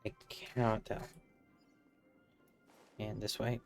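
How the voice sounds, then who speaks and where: A man's voice: lowish voice, flat delivery, slow pace, gravelly. A man giving casual, rambling live commentary as he plays a game on stream. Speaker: livestreamer playing a game. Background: faint game music.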